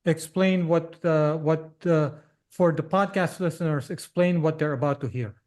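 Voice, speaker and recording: A man hosting a podcast interview, speaking haltingly as he stops to clarify things for listeners. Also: fairly high pitch, darkish tone. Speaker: podcast host.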